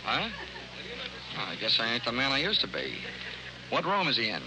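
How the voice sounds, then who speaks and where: Wisecracking 1940s radio detective. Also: male voice, medium pitch, huge pitch swings, moderate pace, background noise. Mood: breezy. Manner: wry, dry wisecracking. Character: cynical, wisecracking male insurance investigator, brisk and tough. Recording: old 1940s radio recording with music.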